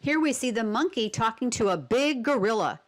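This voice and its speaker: A woman giving instructional narration and reading a picture book for children, expressive and deliberate, with prompting questions. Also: a little high. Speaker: read-aloud instructor.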